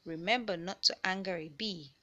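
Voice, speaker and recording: A woman reading a children's science picture book aloud in an expressive, deliberate storyteller style for young listeners. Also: on the low side, highs cut off. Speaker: read-aloud narrator of children's books.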